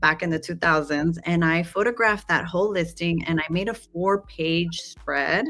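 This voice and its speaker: Low-voiced, bright, animated woman storyteller. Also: normal speed. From Guatemala, raised in Los Angeles. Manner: animated, acts out quoted dialogue. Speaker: marketing guest. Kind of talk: casual storytelling interview.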